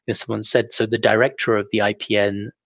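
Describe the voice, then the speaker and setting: Gravelly-voiced man, relaxed interview guest. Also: lowish voice, fast talker, dry recording. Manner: relaxed, self-deprecating, many fillers. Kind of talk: casual interview talk. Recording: dull, dry lo-fi sound.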